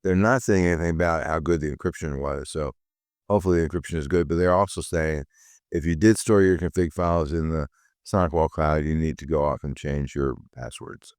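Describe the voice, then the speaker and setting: A man hosting a weekly security news update, talking with a rough voice, giving blunt, dry asides with frequent ums and uhs. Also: extremely low pitch, a little fast, darkish tone, dry recording. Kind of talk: solo news commentary.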